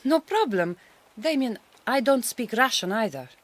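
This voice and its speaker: An actress playing a young Hungarian migrant farm worker in a radio drama, polite and self-assured, with careful, formal phrasing and a Hungarian accent. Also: female voice, on the high side, big pitch swings, a little slow.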